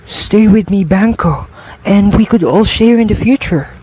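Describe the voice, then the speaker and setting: A man's voice: sits high, unhurried, a little mellow, breathy edge, poor audio. A man playing a troubled, wavering nobleman in a radio drama, hesitant and brooding, with music behind him. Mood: guarded. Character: Macbeth, troubled, wavering Scottish nobleman and husband.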